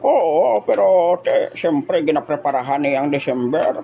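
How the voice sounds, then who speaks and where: A woman's voice: low-pitched, expressive, a little slow, creaky, dark tone, lo-fi sound, exaggerated voice. A woman in a comedic audio drama playing a chatty, gullible old grandmother who loves gossip. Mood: gossipy, chatty. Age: older.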